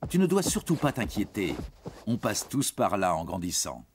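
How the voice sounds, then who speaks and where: A man's voice: fairly low pitch, big pitch swings, normal speed, background noise. A man reading scripted educational narration for children, explaining things in a reassuring way and speaking directly to the young listener.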